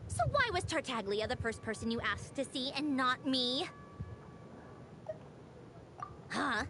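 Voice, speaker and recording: A sardonic female commander in a video game. Her delivery is highly animated and teasing, with an impatient, haughty edge. Music plays clearly behind her. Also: normal speed. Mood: teasing, flustered. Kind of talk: scripted video game voice acting. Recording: music under the voice.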